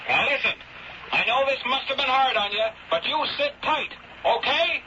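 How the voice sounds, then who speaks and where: Insistent plain-spoken seaman, radio play, very high voice through boat radio, clear noise. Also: expressive, moderate pace, bright, forceful tone, heavily acted. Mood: apologetic, reassuring. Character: stubborn, loyal seaman calling over boat radio. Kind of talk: old-time radio drama acting. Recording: dull noisy radio drama recording.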